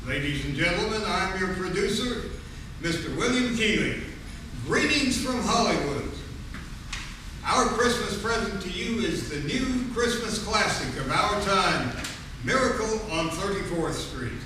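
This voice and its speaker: A man's voice: high-pitched, expressive, measured pace, noisy background. A man playing a genial, showy 1940s radio host and producer, announcing in a formal, theatrical style. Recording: echoey theater, distant, music behind.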